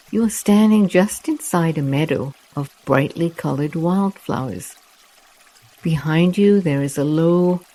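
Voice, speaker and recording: Guided meditation narrator: soft and soothing, very slow pace with long pauses, mellow tone. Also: mid-range voice.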